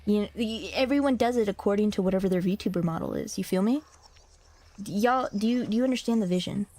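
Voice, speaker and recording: A young woman streaming, talking casually to her chat in slang and reading game text aloud, a little hoarse from a cough. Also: mid-range voice, quick pace, warm tone. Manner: slangy, rambling, talks to chat.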